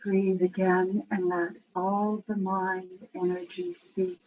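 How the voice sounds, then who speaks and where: A woman leading a guided meditation in a soothing, unhurried manner with long pauses. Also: medium pitch, flat delivery, darkish tone. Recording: dull, lo-fi with faint background noise.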